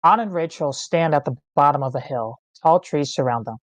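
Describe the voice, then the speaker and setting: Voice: medium pitch, a little fast, no room sound. Stage-direction narrator: terse dramatic phrasing, scripted table read, close dry sound. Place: online meeting, close dry mic.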